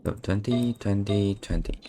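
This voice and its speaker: Brief: low-voiced male streamer, slow and hesitant, muttering over his game. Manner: mumbling, hesitant, many fillers. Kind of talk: casual gameplay commentary.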